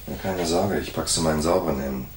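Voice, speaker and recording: An actor playing a world-weary, sardonic private detective who also narrates in a radio crime drama, with a dry, wry, clipped delivery. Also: male voice, very deep voice, fast talker, slight background noise, rough recording. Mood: businesslike.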